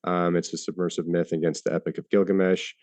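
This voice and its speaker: A low-voiced man, halting and casual. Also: level tone, darkish tone, dry recording. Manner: hesitant, many fillers and restarts, casual swearing. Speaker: debater. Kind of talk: live debate.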